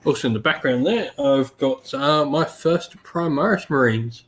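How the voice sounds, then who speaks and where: A man hosting a casual hobby stream, a little croaky from being sick, laid-back with lots of ums. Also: mid-range voice, moderate pace, fairly bright tone. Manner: laid-back, many ums, greets chat viewers by name. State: slightly croaky from illness. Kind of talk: casual live-stream chat while painting miniatures.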